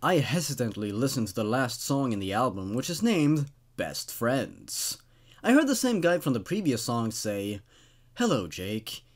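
A young man in his twenties narrates creepy stories into a studio microphone. His mid-pitched voice swings widely as he reads with drama, then drops into casual, wry commentary. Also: very animated, measured pace. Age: 20s. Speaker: host reading horror stories. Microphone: studio condenser microphone on a stand.

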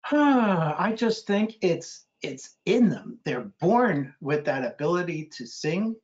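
A middle-aged American man speaks in a somewhat high voice, chatting casually as he answers questions. He is an author appearing as a guest on a video call, so the sound is a little dull and lo-fi. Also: very animated, slow. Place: indoor room on a video call. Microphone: computer video call.

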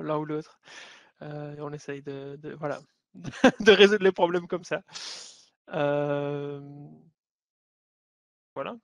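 Belgian french guy, highish voice, dry sound, joking self-intro on a video call. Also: no echo. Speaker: panelist, technical support engineer. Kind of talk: casual self-introduction on a panel.

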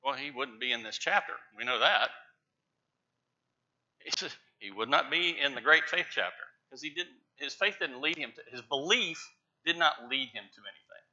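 A middle-aged man preaching from a church pulpit, stressing key words with emphatic, rising loudness. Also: mid-range voice, lively intonation, moderate pace. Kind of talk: sermon. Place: large church sanctuary with pews. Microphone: lapel mic, far camera. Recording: dull church livestream audio.

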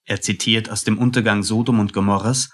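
A man's voice: on the low side, steady tone, a little fast. A man reading scripted scene narration for a radio play.

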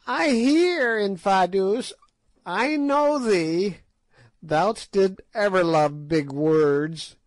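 A man voicing an ancient, wicked witch woman, with a high piping cackle and slow gloating delivery. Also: dry recording. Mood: sneering. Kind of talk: audiobook character voice.

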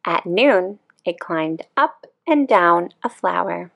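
A woman reading a children's picture book aloud as a calm, expressive storyteller. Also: lowish voice, takes it slowly, gravelly.

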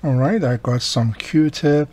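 A man's voice: on the high side, takes it slowly, a little mellow. A man at a workbench patiently explaining a repair step by step, with slightly non-native phrasing, recorded by an overhead camera mic. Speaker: radio repair technician. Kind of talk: hands-on repair tutorial. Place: workbench, indoors.

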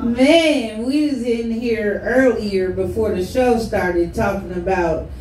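A woman's voice: a little high, takes it slowly, darkish tone, slight background noise. A woman doing a profane, crowd-roasting stand-up set at an open mic in a small barbershop venue, heard from the back of the room. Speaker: stand-up comic. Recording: roomy, from far off, crowd behind.

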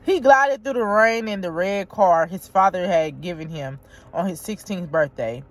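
A woman vlogging from inside her car, reading aloud haltingly and chatting straight to her viewers. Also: lowish voice. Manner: halting read-aloud, stumbles and restarts; chatty, talks directly to viewers. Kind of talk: casual vlog, reading aloud.

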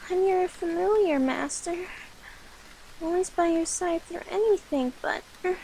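Woman acting a very high-pitched shy catgirl familiar. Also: creaky, mellow, slight background noise, exaggerated voice, rough recording. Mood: shy, affectionate. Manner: halting, flustered, cutesy pleading. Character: young catgirl witch's familiar, shy, flustered, devoted, clingy. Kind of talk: ASMR roleplay.

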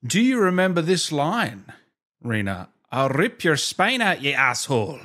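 A man's voice: a little high, sing-song, a little slow, sharp tone. A man in his thirties hosting a casual interview, asking short informal questions into a close desk microphone. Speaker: host, interviewer. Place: small indoor streaming room. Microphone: desk microphone close up, headset on.

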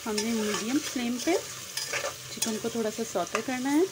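A woman's voice: fairly high pitch, unhurried. A woman, hosting a cooking channel and explaining a recipe step by step to her viewers in a friendly way. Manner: friendly, addresses viewers directly, mixes in English words. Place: home kitchen. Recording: noisy phone recording over sizzling pan. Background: frying and sizzling.

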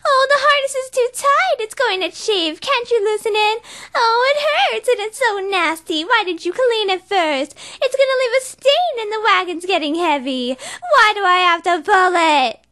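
Lady voicing a dramatic posh cartoon unicorn diva, super high and bright. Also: expressive, normal speed, hardly any pauses, exaggerated voice. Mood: whiny. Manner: theatrical, posh and melodramatic. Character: dramatic refined female unicorn, fashion-loving diva. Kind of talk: cartoon voice acting reel.

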